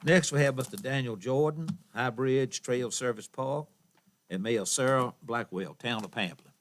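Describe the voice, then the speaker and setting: A man's voice: mid-range voice, moderate pace. A man chairing a county board meeting, who thanks and introduces speakers in a polite, formal way.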